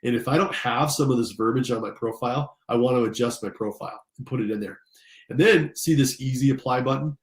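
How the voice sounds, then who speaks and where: A man's voice: medium pitch, a little fast. An American man, slightly stuffed up, giving an informal, enthusiastic tutorial and speaking directly to his listeners. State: slightly congested from allergies. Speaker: career coach and instructor. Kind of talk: informal screen-share tutorial. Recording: roomy, echoing sound.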